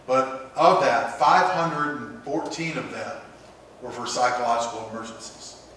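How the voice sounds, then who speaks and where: A man in his fifties, a police captain, giving halting, measured interview remarks. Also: medium pitch, fairly bright tone, a little hiss or hum, low-quality recording. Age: 50s. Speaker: police captain, crisis team coordinator.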